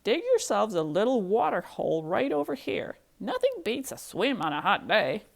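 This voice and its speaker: A woman voicing a blunt, bossy, know-it-all male duck in a storybook with forceful declarations. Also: medium pitch, huge pitch swings, normal speed, creaky, heavily acted. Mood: advising.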